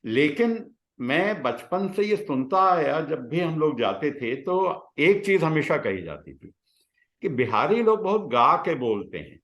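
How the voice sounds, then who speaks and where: An older man shares reflective personal stories. His voice sits a little high and moves with animated swings of pitch, broken by halting pauses. The room adds a noticeable echo. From Bihar, India. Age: 60s. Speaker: guest, retired scientist. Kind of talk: reflective personal storytelling in conversation. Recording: roomy, echoing sound.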